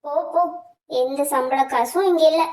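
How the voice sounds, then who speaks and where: A man's voice: very high-pitched, moderate pace, a little mellow, roomy sound, far from the mic. A man as a brusque, cheating eagle shopkeeper. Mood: dismissive. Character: gruff, dismissive, cheating eagle timber merchant. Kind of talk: children's cartoon story acting.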